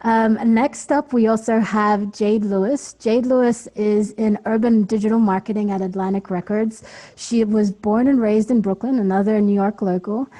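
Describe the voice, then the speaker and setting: A woman's voice: medium pitch, steady tone, normal speed, warm tone, low-quality recording. A woman raised in Australia, moderating an online music industry panel in a casual way with frequent ums. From Philippines, raised in Australia. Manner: casual, hesitant with many ums, introduces and hands off to guests.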